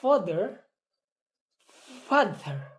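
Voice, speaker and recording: A woman, a pronunciation student, carefully repeating drill words and haltingly reading practice sentences word by word. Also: medium pitch, sing-song.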